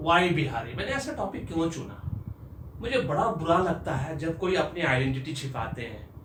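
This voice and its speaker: Emphatic professor, echoey room. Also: male voice, a little high, very animated, normal speed, noisy background. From Bihar, India. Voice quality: earnest, emphatic, rising when stirred. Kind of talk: motivational talk to camera. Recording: echoey room, mic far off.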